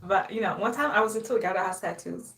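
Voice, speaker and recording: A woman's voice: mid-range voice, fast talker, vocal fry, a bit bright, slight background noise. A young woman from Haiti chatting casually on a live stream, sassy, boastful and playful, often laughing. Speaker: livestreamer.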